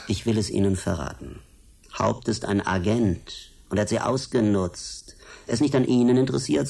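A gravelly, low-voiced male secret service interrogator in a radio drama. He is condescending and falsely polite, with a husky edge and a steady undertone of threat. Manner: mock-polite, condescending, needling. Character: menacing male state security agent, smugly insinuating. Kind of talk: German radio drama acting.

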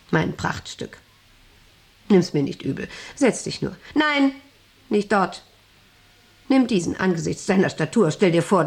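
An actress playing a middle-aged, self-important and lonely factory director in a radio drama, rambling intimately and capriciously with a creaky voice. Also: female voice, on the low side, a little mellow. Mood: condescending. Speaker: actor in an audio drama. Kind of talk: 1990s German radio drama acting, monologue-like.

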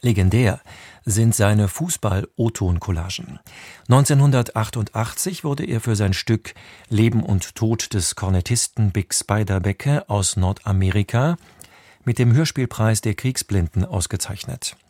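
A man presenting on radio, reading a scripted introduction in a measured, formal way. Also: on the low side, lively intonation, mellow, dry recording, studio quality. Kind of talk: scripted narration.

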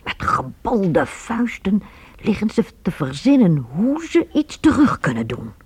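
A man tells a radio drama in a measured storytelling voice that rises and falls freely. His tone is husky, and the sound is close and dry. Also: high-pitched, no room sound. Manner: measured, expressive. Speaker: narrator. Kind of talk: scripted storytelling narration.

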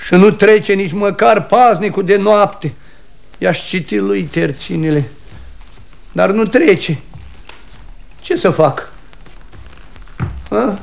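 An actor playing an excitable, self-important male poet in a radio comedy, declaiming theatrically. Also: sits high, vocal fry, pauses often. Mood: forlorn, pleading. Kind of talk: mid-century radio comedy theatre acting.

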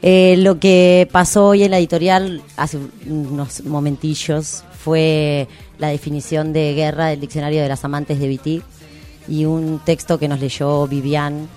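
A woman's voice: sits low, flat delivery, moderate pace, a little mellow. A woman with a Rioplatense Argentine accent hosting a casual radio show, speaking in an informal, slangy way. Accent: Rioplatense Argentine Spanish. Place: community radio studio. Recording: music behind the voice. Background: music.

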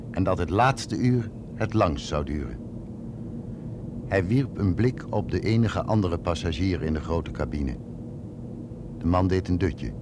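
A man's voice: deep voice, background noise. A man reading scripted narration for a radio drama.

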